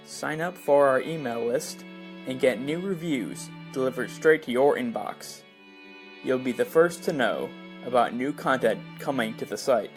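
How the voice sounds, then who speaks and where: A man's voice: medium pitch, unhurried. A man hosting a podcast and reading a scripted review in the manner of a narrator. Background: music.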